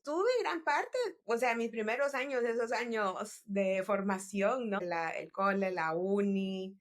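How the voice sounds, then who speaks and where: A woman from El Salvador, speaking as a guest in an interview at a mid-range pitch. She talks thoughtfully, pausing to restart phrases and sprinkling in English business terms. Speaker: guest entrepreneur. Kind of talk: interview conversation.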